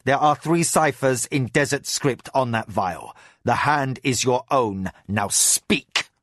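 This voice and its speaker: A man voicing a cold, menacing male spy master who speaks low, slow and measured. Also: fairly bright tone, no echo, clean studio sound. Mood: calmly menacing.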